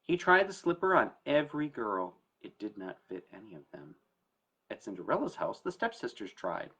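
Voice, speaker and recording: A man's voice: fairly low pitch, very animated, normal speed, pushed and bright, husky. A man giving a conversational, explanatory talk as an attorney who hosts a show, speaking informally and with emphasis. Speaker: estate planning attorney hosting a story time talk. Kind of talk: informal educational talk to camera.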